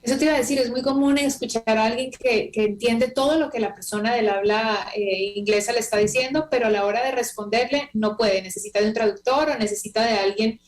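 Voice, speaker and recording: A woman's voice: a little high, steady tone, fast, few pauses, rough recording. Chatty woman hosting an interview. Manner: chatty, thinks aloud, reads out viewer comments. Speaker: host.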